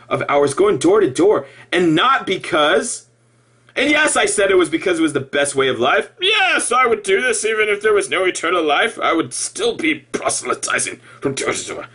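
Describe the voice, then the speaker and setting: A man giving an impassioned personal monologue to viewers, halting and repeating himself, with emphatic stresses. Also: on the high side, a bit bright, breathy edge. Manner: halting, repetitive, with emphatic outbursts. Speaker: former Jehovah's Witness video blogger.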